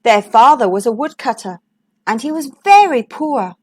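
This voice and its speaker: A woman reading a storybook aloud for young children in an expressive, deliberate way, as host and narrator. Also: a little high.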